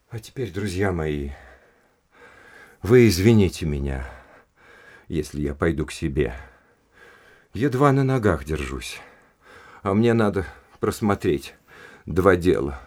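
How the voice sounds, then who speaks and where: An actor in an audio drama playing a haughty, indignant aristocratic official who speaks in an emphatic, scornful and domineering way. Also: male voice, on the low side, a little mellow. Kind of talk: Russian audio drama acting.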